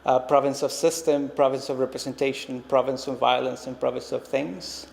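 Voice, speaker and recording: Hesitant Costa Rican artist, gravelly voice. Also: male voice, medium pitch, level tone, normal speed, a little mellow. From Costa Rica. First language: Spanish. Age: 30s. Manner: reflective, hesitant with frequent ums and restarts. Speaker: visiting artist. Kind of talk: artist talk presentation. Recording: long-reverb hall, faint noise.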